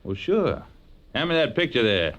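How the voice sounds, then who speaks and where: An actor playing a menacing, cocky blackmailer and killer with a tough, sneering delivery in a 1940s radio crime drama. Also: male voice, mid-range voice, very animated, normal speed, a bit bright. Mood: cold, sneering. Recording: dull vintage radio recording.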